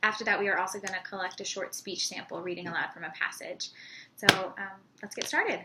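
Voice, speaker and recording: A young woman interviewing a patient, asking steady questions with some fillers. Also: mid-range voice, brisk, heavy background noise. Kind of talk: clinical interview.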